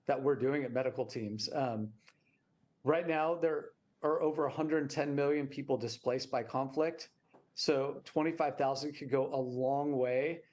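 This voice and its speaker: A man's voice: medium pitch, darkish tone. An American man speaking as a nonprofit representative, explaining the work in an interview.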